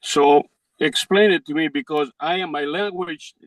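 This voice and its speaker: Older Greek man, halting, pleading. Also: a little high, no echo, rough recording. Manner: halting, broken English with restarts. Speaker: defendant in court. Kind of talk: pleading to a judge. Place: courtroom.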